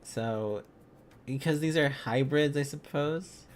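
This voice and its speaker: A man's voice: on the high side, slow, slight background noise. A man live-streaming a casual lesson, thinking aloud in a hesitant, rambling, playful way.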